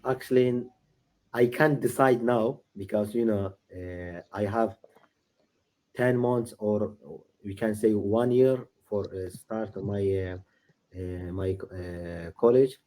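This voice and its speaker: A young man from Iraq, practicing English on a video call, halting and stopping often to search for words. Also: lowish voice, warm tone. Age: late teens. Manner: halting, many uh fillers and restarts. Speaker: English learner. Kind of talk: casual video-call conversation.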